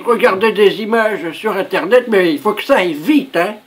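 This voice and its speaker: A performer voicing an excitable, stubborn, naive old grandmother in a prank phone call. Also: mid-range voice, normal speed, close to an everyday voice. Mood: stubborn, excited. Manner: insistent, rambling, tags sentences with questions.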